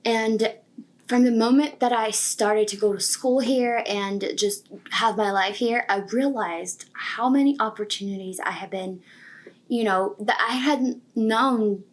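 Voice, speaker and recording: A woman in her twenties who grew up in Brazil with Portuguese as her first language shares a personal story. Her pitch sits in the middle for a woman. She talks informally, with youthful, emphatic phrasing, as if chatting to peers. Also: unhurried. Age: 20s. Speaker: software engineering student telling career story.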